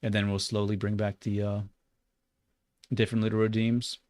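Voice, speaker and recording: A young Australian man livestreaming while he works, chatting loosely with lots of "like" and muttering curses at his software. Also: lowish voice, monotone, normal speed, clear, resonant tone, dry recording, clean studio sound. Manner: rambling and loose, heavy on "like", muttering and swearing at the software. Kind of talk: casual livestream chat while 3D modeling.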